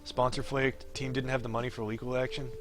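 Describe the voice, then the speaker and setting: A man's voice: mid-range voice, level tone, a little fast, natural voice. A man voicing a wry, cocky young pro gamer from a visual novel, teasing and breezy. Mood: teasing, wistful. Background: game music.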